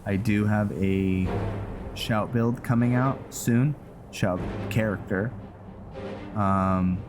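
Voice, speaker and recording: A man giving casual, rambling gameplay commentary, halting often as he thinks aloud. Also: on the low side. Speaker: gaming video host. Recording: music behind the voice. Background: game music.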